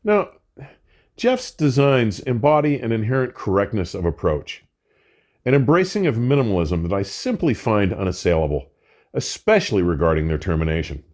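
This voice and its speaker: An older man presenting a scripted product review in a measured, emphatic way with formal wording. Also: on the low side, very animated, a little mellow. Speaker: audio equipment reviewer and host.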